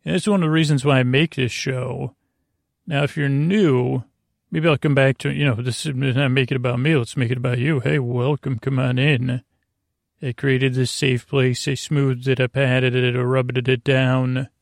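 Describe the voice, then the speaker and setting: A man with a creaky voice hosting a sleep podcast, rambling in a lulling, meandering, halting way. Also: mid-range voice, fairly even intonation, no echo, clean studio sound. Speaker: podcast host.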